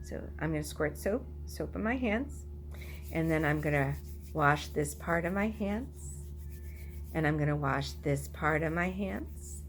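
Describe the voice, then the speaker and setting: A woman's voice: deep voice, animated, measured pace, slight background noise. A woman teacher talking to toddlers, giving instructions in simple, repeated phrases. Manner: simple, repetitive phrasing addressed to small children. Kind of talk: instructional talk and sing-along for toddlers.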